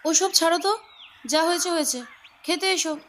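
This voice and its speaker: A woman's voice: extremely high pitch, normal speed, a bit bright, slight background noise. A woman playing an anxious, fussing mother in an audio story drama. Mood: brisk. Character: anxious, fussing Bengali mother of a teenage son.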